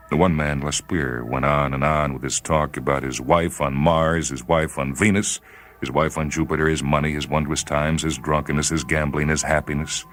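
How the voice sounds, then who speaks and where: A man reading a short story as dramatic narration, grave and measured, with theatrical emphasis. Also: extremely low pitch. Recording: faint noise and ambient sound bed. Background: faint ambient sound.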